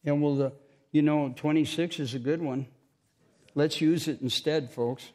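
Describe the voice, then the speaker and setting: A man's voice: a little high, fairly even intonation, unhurried, mellow. A man preaching an informal sermon, chatty and self-mocking, joking with the congregation between scripture readings.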